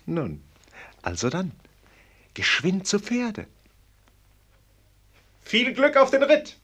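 A man's voice: high voice, wildly swooping pitch, slow, fairly bright tone, heavily acted. A man acting a knowing, sardonic commentator in a radio play, with ironic, teasing, rhetorical delivery. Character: sardonic knowing male commentator needling a prince.

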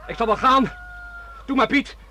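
High-voiced, stubborn teenage boy. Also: moderate pace, sharp tone, exaggerated voice. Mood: earnest defiance. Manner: earnest, hesitant yet defiant. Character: stubborn, principled sixteen-year-old son. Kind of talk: radio drama acting. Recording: faint background noise on old radio recording.

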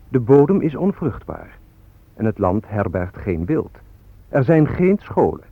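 Defiant activist spokesman, very animated delivery. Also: mid-range voice, dry recording, phone-quality sound. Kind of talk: radio drama acting, declamatory.

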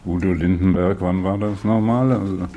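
A man's voice: deep voice, steady tone, moderate pace, mellow. A man speaking casually as a reporter on location, asking and commenting in conversation. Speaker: reporter, interviewer. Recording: noisy location recording, strong room ambience.

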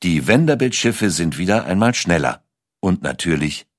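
A man narrates a scripted documentary in a very deep voice. His intonation moves with lively range, and the recording is dry and close. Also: moderate pace, no room sound.

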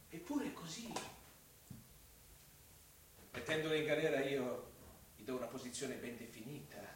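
Male actor as wily investigator, higher-set voice, mocking, menacing, radio drama, faint background noise. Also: lightly acted. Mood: mock-friendly. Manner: insinuating, teasing, swinging from hushed to loud. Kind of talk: theatrical Italian radio drama monologue. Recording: very echoey, distant microphone, faint noise.

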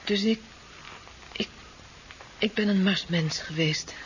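A woman's voice: mid-range voice, takes it slowly, close to an everyday voice. An actress playing an earnest, easily shaken young female doctor in a 1970s science fiction radio drama. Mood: weak, ashamed. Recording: dull 1970s radio recording, faint noise.

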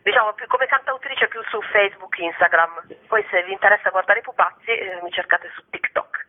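A woman's voice: medium pitch, fairly quick. A woman from Bari in southern Italy chatting informally as an interview guest. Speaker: singer-songwriter interview guest. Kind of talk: casual spoken interview. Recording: narrow phone-like radio line.

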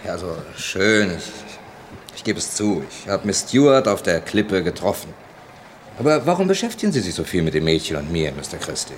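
A man's voice: low voice, sing-song, moderate pace, a little hiss or hum. An actor playing an irritable, defensive younger man who is both suspect and narrator in a radio crime drama. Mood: irritated.

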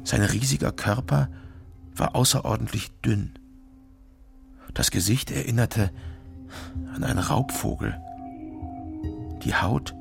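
An actor playing a wary East German private detective, narrating a radio crime drama in terse, deadpan sentences. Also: male voice, on the low side, gravelly, full character voice. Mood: grim, tense.

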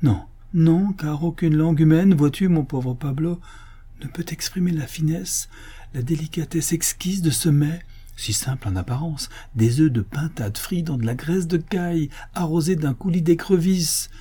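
Man acting rapturous gluttonous canon, pitched high. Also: dark tone, breathy edge. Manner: effusive, drawn-out exclamations of delight. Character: gluttonous, sentimental Spanish canon overcome by fine food.

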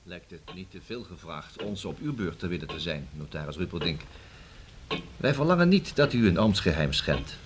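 Police inspector, low register, measured and probing, formal radio drama delivery, hissy old recording. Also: male voice, sing-song. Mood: formal, pressing. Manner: formal, deliberate, measured questioning. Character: methodical male police inspector, reasoning and probing.

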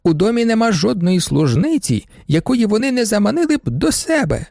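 A man reading an audiobook, voicing a shrill, hysterical older baroness who shrieks her complaints. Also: sing-song, a little fast, creaky, warm tone, no echo, studio quality. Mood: shrill complaining. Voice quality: shrieking, piercing. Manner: overwrought, complaining. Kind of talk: audiobook character voice.

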